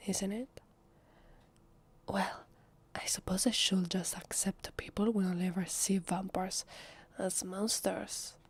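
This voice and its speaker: A woman with an Italian accent playing a shy, lonely vampire posing as a human in an ASMR roleplay, speaking softly and hesitantly in a breathy half-whisper. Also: mid-range voice. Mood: wry, musing. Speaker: voice actress. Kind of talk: ASMR roleplay, soft intimate speech to the listener.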